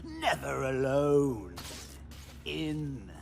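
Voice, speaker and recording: Manic clown villain from a game, gleeful n taunting, drawn out, swoops all over. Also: male voice, medium pitch, huge pitch swings, drawn-out words, vocal fry, slight background noise, full character voice. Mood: gleeful singing.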